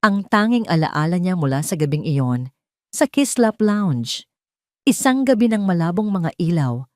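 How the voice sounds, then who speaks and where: A woman's voice: lowish voice, a little mellow, no echo, studio quality. A woman narrating a scripted dramatic story in a solemn, emotive manner.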